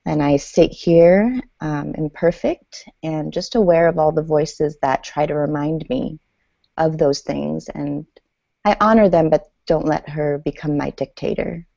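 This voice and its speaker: A woman from Iran whose first language is Farsi, speaking low and warm with a gravelly edge. She reflects at a measured pace, pausing on frequent ums. The podcast recording is dry and slightly dull. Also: no echo. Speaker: guest author and speaker. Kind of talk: podcast interview, personal story. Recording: dull lo-fi sound.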